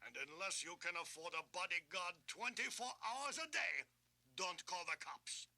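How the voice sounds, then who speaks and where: Masked mob heavy, piercing bright voice, fairly high, clipped threats, audio drama villain. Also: male voice, normal speed, heavily acted. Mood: cold threat. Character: menacing masked gangster enforcer, curt and threatening. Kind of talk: 1980s audio drama acting.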